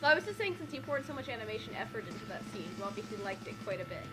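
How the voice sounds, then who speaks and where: A woman with a high, very animated voice banters casually as a co-reader of a comic story. Prominent music plays along with her. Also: racing. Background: loud background music.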